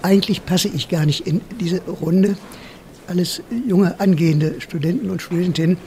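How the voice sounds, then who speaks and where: A man's voice: high voice, steady tone, moderate pace, mellow, a little hiss or hum. An older man speaking reflectively and measuredly in a documentary interview.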